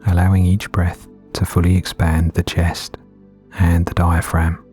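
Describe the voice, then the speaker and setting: A man gently guiding a meditation in a soothing, unhurried way with long pauses between phrases. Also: low-pitched, monotone. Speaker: meditation guide. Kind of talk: guided meditation narration. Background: background music.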